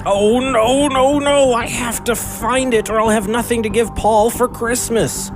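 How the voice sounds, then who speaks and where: A man's voice: very high voice, fairly even intonation, a little slow, music in the background. A man voicing an earnest, worried ox in a family radio drama, with an anxious, pleading manner. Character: earnest, worried young male blue ox.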